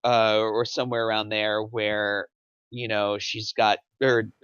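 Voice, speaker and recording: A man giving casual, analytical script feedback in a halting way, repeating and reworking his phrases as he thinks aloud. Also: on the low side, little pitch movement, fairly bright tone, clear, resonant tone. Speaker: table read participant giving script feedback. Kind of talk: casual script critique discussion. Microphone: online voice call. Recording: muffled lo-fi voice chat audio.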